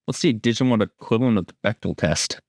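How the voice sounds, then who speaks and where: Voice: mid-range voice, fast, a little mellow, no room sound, clean studio sound. A person giving a casual creator's commentary on an episode, chatting informally. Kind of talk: casual director's commentary chat.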